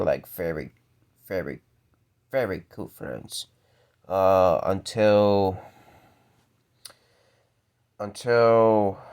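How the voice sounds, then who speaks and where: A young man from the American Deep South with a very deep voice, stuttering and halting through a casual solo Q&A. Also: creaky, clean tone. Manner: stuttering, halting with repeated words. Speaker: video creator answering viewer questions.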